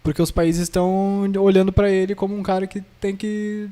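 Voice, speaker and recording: A man's voice: sits high, little pitch movement, normal speed, mellow. A man in a casual podcast chat, explaining things loosely and informally. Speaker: podcast panelist.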